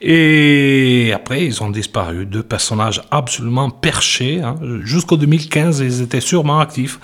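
A man from Italy with an Italian accent, introducing a track as a music show host, talking casually with halting restarts and fillers. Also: mid-range voice, fairly bright tone. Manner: halting, repeats words, many fillers.

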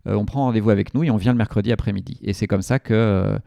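A man's voice: lowish voice, steady tone, rapid-fire, creaky, warm tone, dry recording. A man from a community TV association explaining its work in a radio interview, hesitant and full of restarts.

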